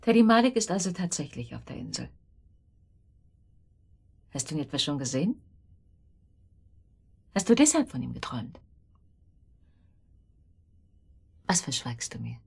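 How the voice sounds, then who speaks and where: An actress in a radio drama playing an uneasy, suspicious wife who probes and questions insistently. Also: female voice, deep voice, very animated, moderate pace, vocal fry, long pauses, husky, full character voice. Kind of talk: naturalistic German radio drama acting.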